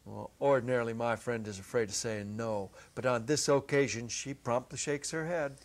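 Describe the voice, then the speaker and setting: A middle-aged man giving an expressive dramatic reading of a literary short story, with deliberate pauses. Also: medium pitch. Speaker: narrator, reader. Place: indoor set, seated at a music stand. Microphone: clip-on lapel.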